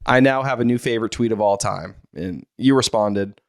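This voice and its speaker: A man's voice: medium pitch, normal speed, no echo, clean studio sound. A man co-hosting a sports podcast, explaining himself in a casual, earnest, rambling way. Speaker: podcast co-host.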